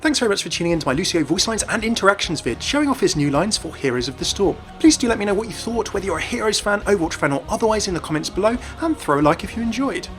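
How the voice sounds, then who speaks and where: Casting: male gaming video narrator, enthusiastic scripted read, brisk, on the higher side. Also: expressive, a bit bright, soft background music.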